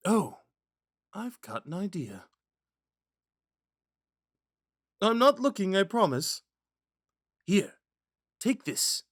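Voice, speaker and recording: Casting: male actor as shy, smitten young prince, gentle and hesitant, lightish pitch, animated intonation, intimate dry ASMR sound. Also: no echo. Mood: awkward, caring. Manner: soft-spoken, hesitant, stammering when flustered. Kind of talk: ASMR roleplay, scripted monologue to a listener.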